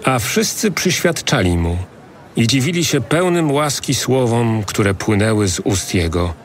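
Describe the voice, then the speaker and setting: A man narrating scripture as a scripted audio drama, solemn and deliberate in his delivery. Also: medium pitch, expressive, slight background noise. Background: music.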